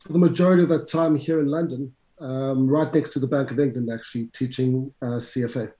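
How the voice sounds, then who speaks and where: A middle-aged man with a South African accent talks through his career in a chatty, lecturer's way, sometimes speaking to listeners directly. His voice is dark and mellow. It sits in a roomy space and sounds a little dull. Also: medium pitch, steady tone, brisk. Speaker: CFA instructor, guest. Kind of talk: conversational interview answers.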